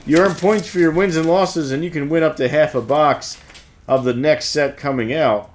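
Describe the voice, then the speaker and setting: A man's voice: a little high, normal speed. A man co-hosting an informal store news segment, reading announcements and bantering casually. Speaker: co-host. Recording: music bed under the voice. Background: music.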